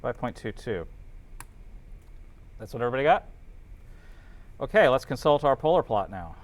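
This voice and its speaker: A man's voice: medium pitch, big pitch swings, normal speed. A man teaching an informal class, thinking aloud as they work through calculations and checking in with students.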